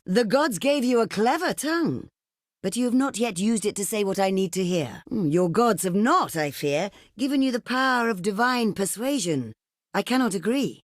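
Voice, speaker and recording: An imperious, shrewd queen of a desert realm in a video game. Her voice sits fairly high and moves expressively up and down through formal, theatrical declamation. The recording is very dry and close, with no room around it. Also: no room sound. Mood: angry, offended, then cool refusal. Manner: regal, formal, measured declamation. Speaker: game character voice actor.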